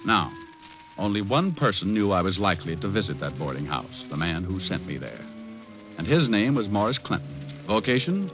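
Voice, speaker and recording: An actor in an old radio noir drama playing a wry, cocky hard-boiled private detective who wisecracks as he talks and narrates. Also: low voice. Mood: wry narration. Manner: wisecracking, sardonic. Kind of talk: 1940s-50s radio noir acting and narration. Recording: muffled old radio recording.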